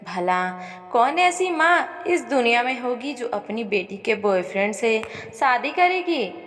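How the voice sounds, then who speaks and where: A woman's voice: on the high side, big pitch swings, measured pace, a bit bright, soft background music. A woman voicing a jealous, defiant young daughter in an audio story, theatrical and indignant, with long reverb. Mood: furious.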